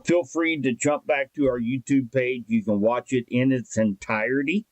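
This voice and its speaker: A man's voice: medium pitch, moderate pace, no echo. Folksy American man, close dry sound. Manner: folksy, conversational. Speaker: local radio presenter. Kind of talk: informal explainer and product recommendation.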